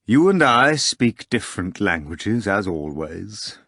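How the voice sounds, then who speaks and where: A man's voice: lowish voice, sing-song, fairly bright tone, no room sound, clean studio sound, heavily acted. Icy male lord of darkness, clipped and commanding, as an audiobook narrator puts him on. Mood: imperious. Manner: slow, curt and imperious.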